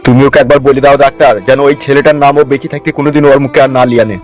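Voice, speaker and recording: A man's voice: mid-range voice, steady tone, rapid-fire, natural voice. A man voicing a bitter, ashamed village father in a comedy cartoon, coarse and scolding. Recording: music behind the voice.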